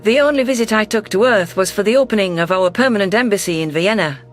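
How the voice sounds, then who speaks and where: High-voiced male narrator, science fiction. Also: quick pace. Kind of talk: scripted first-person science fiction narration. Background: faint music.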